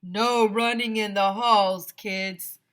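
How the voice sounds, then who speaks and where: A woman's voice: mid-range voice, steady tone, takes it slowly, a bit bright. A woman voicing an easygoing male school principal in a children's storybook, calling out to kids with authority. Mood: stern shouting.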